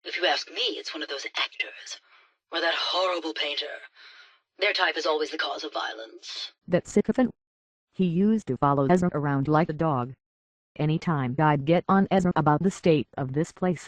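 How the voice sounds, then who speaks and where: A woman's voice: low voice, normal speed, no room sound. A woman voicing a haughty, petulant rich heiress in a robot body for a video game, with pompous, scornful, theatrical diction through a metallic filter. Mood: haughty, dismissive.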